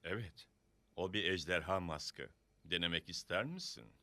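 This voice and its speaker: A man's voice: low-pitched, normal speed. A man voicing a friendly, playful father in a children's cartoon dub, with an encouraging manner.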